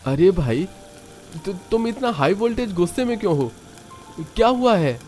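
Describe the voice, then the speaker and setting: An actor playing a hungry, bewildered young husband in a cartoon story. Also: male voice, sits high, big pitch swings, normal speed, a little mellow, soft background music. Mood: puzzled.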